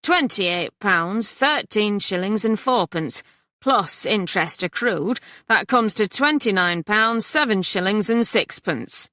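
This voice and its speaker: A woman's voice: lowish voice, creaky, a bit bright, no echo, studio quality. A woman voicing a menacing, slimy coalman who leans on every word in a storybook reading. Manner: slow, heavy emphasis.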